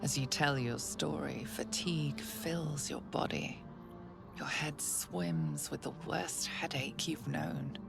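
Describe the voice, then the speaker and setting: Game casting: ominous female narrator, hushed and deliberate, gravelly very low register, slow scripted delivery. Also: fairly even intonation, heavily acted. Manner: hushed, breathy, deliberate storytelling. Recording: music behind the voice.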